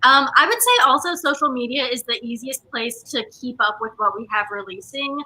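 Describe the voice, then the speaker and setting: Female interview guest, very high and intensely bright voice, casual chat about company work. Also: brisk, poor audio.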